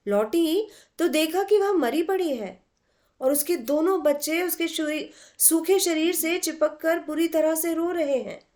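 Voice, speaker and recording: A woman voicing an ambitious, lively young female art student in a story, animated and emphatic. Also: very high-pitched, measured pace, pitched above the performer's own voice. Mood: flustered, explaining.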